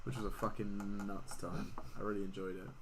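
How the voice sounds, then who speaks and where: A man's voice: fairly low pitch, little pitch movement, fairly quick, crowd noise. A man co-hosting a casual podcast, blunt and jokey, swearing freely. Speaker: podcast co-host. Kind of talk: casual chat.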